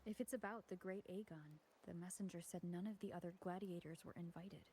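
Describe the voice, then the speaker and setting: An actress playing a thoughtful, wary young warrior in a fantasy game, serious and earnest. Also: female voice, on the low side, brisk, dark tone, slight background noise, natural voice, poor audio. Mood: uneasy. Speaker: gladiator champion character. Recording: game music behind voice.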